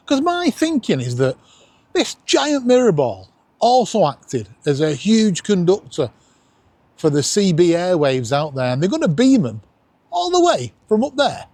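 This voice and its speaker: Male vlogger, Northern English accent. Slightly rough, husky tone, highly animated intonation, cheeky self-mocking chat. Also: unhurried, dry recording. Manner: jokey, animated, self-deprecating. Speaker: vlog host. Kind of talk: casual vlog chat. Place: outdoors at night on a seafront promenade. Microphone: camera worn around the neck.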